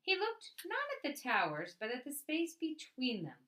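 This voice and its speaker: A woman reading a picture book aloud as a storyteller, with expressive narration and dramatic emphasis on key words. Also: sits high, moderate pace.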